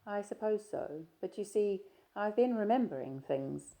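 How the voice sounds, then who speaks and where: A woman voicing a shy, reserved young woman traveller in an audiobook, with soft, hesitant replies. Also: medium pitch, expressive, a little slow, pitched above the performer's own voice. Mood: wistful.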